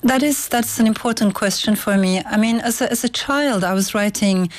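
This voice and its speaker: A woman novelist from Turkey, a native Turkish speaker, answering interview questions in a thoughtful, measured way with occasional restarts. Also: mid-range voice. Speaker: novelist guest.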